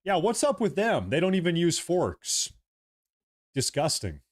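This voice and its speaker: A man's voice: fairly high pitch, huge pitch swings, a little slow. A young man hosting a casual livestream, rambling and repeating himself as he talks to his viewers. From United States. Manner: rambling, repeats himself, reads chat aloud. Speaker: livestream host.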